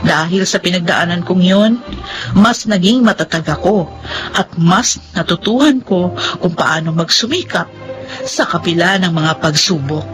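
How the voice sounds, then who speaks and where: A woman's voice: on the low side, measured pace, close to an everyday voice. An actress with a Filipino accent playing an earnest woman who recounts her life struggles in a dramatic radio narration. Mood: reflective, earnest. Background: music.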